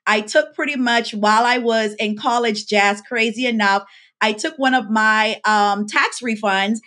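A woman's voice: fairly high pitch, a little slow, a bit bright. A woman from the Bahamas, a pharmacist and life coach, telling her story as a podcast guest and acting out the quoted lines. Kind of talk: casual interview chat.

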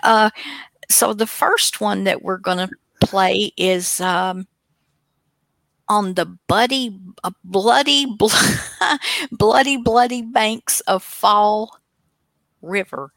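A woman's voice: mid-range voice, slow, vocal fry, long pauses, a bit bright, no room sound. A woman co-hosting a show with casual, rambling, folksy and teasing unscripted chat. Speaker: co-host of an old-time radio online show.

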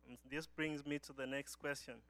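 A man's voice: fairly high pitch, flat delivery, moderate pace, fairly bright tone, no room sound. A young man from Uganda giving an earnest church testimony as a guest speaker, often restarting his phrases.

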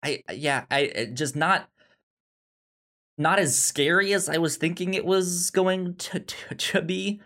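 A man's voice: high-pitched, pushed and bright. A man co-hosting a film podcast, talking casually and repeating words as he works out his thoughts. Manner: halting, repeats words while thinking. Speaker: podcast co-host reviewing films. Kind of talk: casual conversational film discussion.

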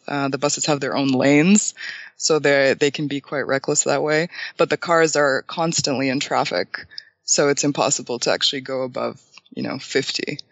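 Gravelly young man, hesitant and thoughtful. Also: a little high, a bit bright, dry recording, dull audio. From Bulgaria. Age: 20s. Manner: thoughtful, many ums and restarts. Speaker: guest. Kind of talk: casual interview answers.

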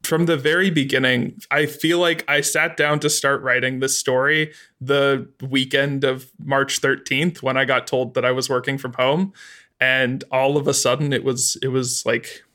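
A man answering as an interviewed writer, conversational and halting, often repeating words as he searches for phrasing. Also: mid-range voice, level tone, pushed and bright.

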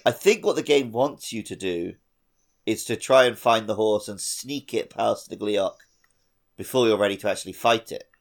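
A man co-hosting a casual podcast, explaining things in a measured, matter-of-fact way. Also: lowish voice. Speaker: podcast co-host.

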